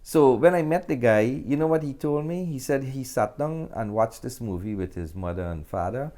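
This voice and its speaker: A man from Guyana with a Guyanese accent talks casually as an interview guest, often pausing mid-sentence. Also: mid-range voice, animated, mellow. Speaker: interview guest, actor and filmmaker.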